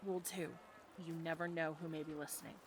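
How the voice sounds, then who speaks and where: A woman playing a friendly, teasing medieval fantasy tavern local in an audio roleplay, talking in a conversational, gently instructive way to a newcomer. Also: sits low, fairly even intonation, fast, noisy background, close to an everyday voice. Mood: guiding. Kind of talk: audio roleplay acting, adventure ASMR.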